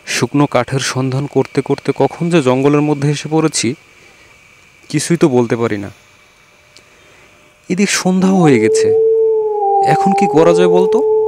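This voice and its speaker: A man's voice: medium pitch, darkish tone. Man playing Rahim, an emphatic village friend. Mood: anxious. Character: young village man Rahim, anxious yet defiant friend. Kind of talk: children's fairy-tale cartoon acting.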